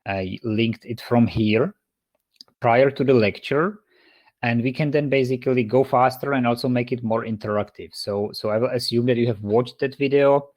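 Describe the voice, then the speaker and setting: A man's voice: medium pitch, moderate pace, a little mellow. A man with a Czech accent giving an online university lecture over a video call, stopping and restarting often with many fillers. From Czech Republic.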